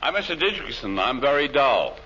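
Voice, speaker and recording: An actor playing a dull, gullible, stubborn husband with a naive manner in 1940s radio comedy style. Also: male voice, medium pitch, very animated, a little fast, bright, forceful tone. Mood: mild, naive. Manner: naive, literal-minded. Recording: noisy, muffled old radio recording.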